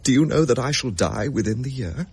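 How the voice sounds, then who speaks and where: An actor playing a shaken young gentleman recounting a ghostly encounter in a grave, halting, old-fashioned way. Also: mid-range voice, expressive, breathy edge. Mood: shaken, doomed. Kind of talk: dramatic period radio drama narration.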